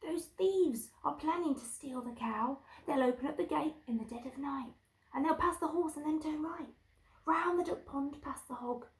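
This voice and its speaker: Woman as small, soft, high-voiced ladybird. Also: normal speed, low-quality recording. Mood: earnest, secretive. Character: small spotty ladybird, earnest, clever, secretive informer.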